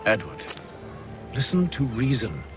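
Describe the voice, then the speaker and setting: An actor playing a composed, calculating gentleman who leads conspirators in a period video game, measured and formal. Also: medium pitch, huge pitch swings. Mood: resolute. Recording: loud music behind. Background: loud music.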